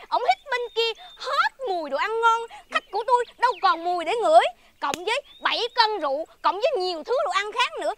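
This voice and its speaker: A woman playing a greedy, bossy eatery owner in a folk-tale drama, exclaiming and repeating herself theatrically. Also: extremely high pitch. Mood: outraged, haggling. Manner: scolding, repetitive, exclaiming. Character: greedy, bossy female eatery owner, shrill and fawning to rich customers. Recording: very noisy location sound.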